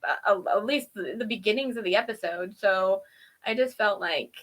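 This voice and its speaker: A woman's voice: medium pitch, normal speed, fairly bright tone. A woman co-hosting a review show in casual, informal chat, giggly and given to exasperated asides. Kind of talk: casual chat, recapping and critiquing a TV episode.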